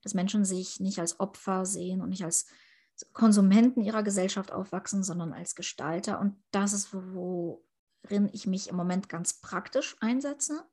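Gravelly, husky-voiced woman. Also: medium pitch, normal speed, dark tone. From Ukraine. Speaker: interviewee, politician. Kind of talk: interview answers.

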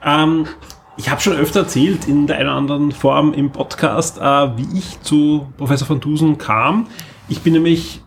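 A man's voice: on the high side, fairly even intonation, moderate pace, slight background noise. A man with an Austrian accent hosting a casual podcast, rambling along with enthusiasm. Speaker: podcast host.

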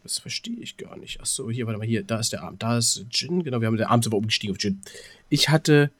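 A man's voice: medium pitch, expressive, fast, a bit bright, no room sound. A man of twenty-seven chatting casually as a podcast co-host, rambling through anecdotes and repeating words as he searches for them. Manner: rambling, stuttering word repeats. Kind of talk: casual chat, telling anecdotes.

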